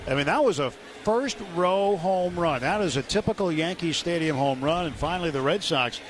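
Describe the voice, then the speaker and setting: A man with a slightly high voice chats as a baseball color commentator on live radio. Outdoor stadium noise is clearly audible behind him. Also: lively intonation, moderate pace. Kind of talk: conversational live sports commentary. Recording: noisy outdoor stadium ambience.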